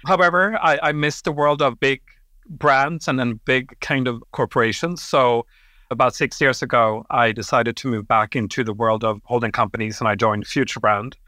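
A man from Sweden, a guest on a podcast, answering in a measured, reflective way with occasional fillers. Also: mid-range voice, steady tone, pushed and bright, no room sound, studio quality. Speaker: guest, creative executive. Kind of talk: interview answers.

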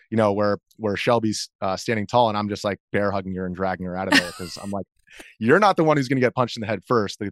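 A man's voice: on the low side, dry recording, clean studio sound. An American man, an interview guest and journalist, recounting his experiences in a casual conversational style.

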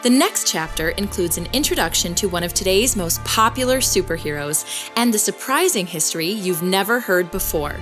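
An actress playing an investigative podcast host, delivering scripted documentary narration. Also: female voice, medium pitch, expressive, moderate pace, a bit bright, natural voice. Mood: composed, informative. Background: music.

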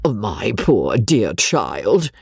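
Woman playing an imperious, gushing old lady, husky. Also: a bass voice, big pitch swings, unhurried, a little mellow, no room sound, studio quality, full character voice. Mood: sentimental, coaxing. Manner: enthusiastic, insistent, gushing. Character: imperious, sentimental rich old lady, nosy and indulgent.

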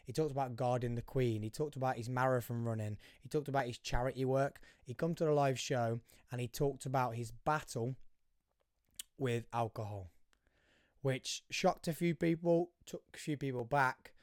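Male host, husky and hoarse, chatty solo intro, smooth tone, dry close sound. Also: mid-range voice, moderate pace, darkish tone, no echo. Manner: chatty, rambling, addresses listeners as guys. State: hoarse, voice fading. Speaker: podcast host. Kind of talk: casual solo podcast intro.

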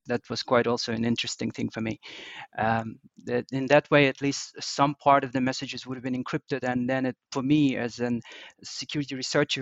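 A man's voice: medium pitch, fairly bright tone, no room sound. A man whose first language is Russian, a security researcher answering interview questions hesitantly. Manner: hesitant, with fillers and restarts in a second language. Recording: dry, dull lo-fi sound.

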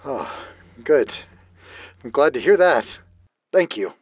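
A man's voice: mid-range voice, lively intonation, slow, breathy edge, no echo, highs cut off. An actor playing a nervous, self-conscious man calling a radio show, hesitant and earnest in a naturalistic audio drama. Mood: nervous, relieved. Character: nervous, hesitant male radio caller, grieving son.

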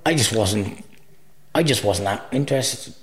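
A man's voice: fairly low pitch, animated, unhurried, slight background noise. A middle-aged man from Cardiff with a Welsh accent, a Welsh first-language speaker, talking casually as an interview guest in a rambling, easygoing way with many restarts. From Wales.

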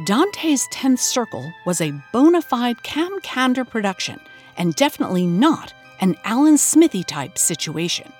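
A woman playing a wry investigative podcast host, narrating a scripted mystery with deliberate suspense. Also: a little high, very animated, a little mellow. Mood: intrigued. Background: music.

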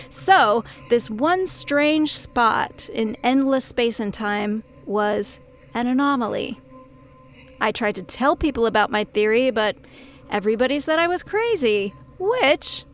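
An actress playing a brash, proud, eccentric woman scientist in audio fiction, deliberate, dramatic and self-assured. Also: on the high side. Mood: brash, smug. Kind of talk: audio fiction monologue and dialogue.

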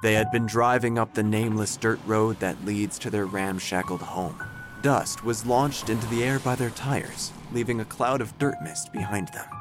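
An actor playing an eccentric small-town obituary writer, narrating an audio drama in a solemn, deadpan, theatrical way. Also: male voice, mid-range voice, lightly acted.

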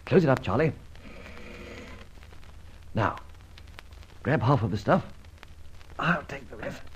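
An actor playing a suave, cool-headed gentleman adventurer and safecracker in an old radio serial, speaking in a debonair, unhurried way. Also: medium pitch, lively intonation. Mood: brisk, confident. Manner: debonair, unhurried, old-fashioned British-style exclamations. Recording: muffled, hissy vintage radio recording.

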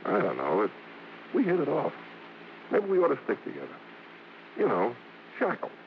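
An actor playing a brash but bashful American song-and-dance man in 1950s radio drama, blustering and halting, full of slang. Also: a little high, wildly swooping pitch, vocal fry, a little hiss or hum, exaggerated voice. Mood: awkward, sheepish. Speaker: actor and singer. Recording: muffled old radio broadcast with music.

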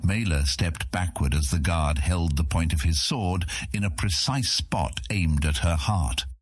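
A man's voice: sits low, measured pace, husky, dry recording, clean studio sound. A man narrating a scripted fantasy audiobook as its storyteller.

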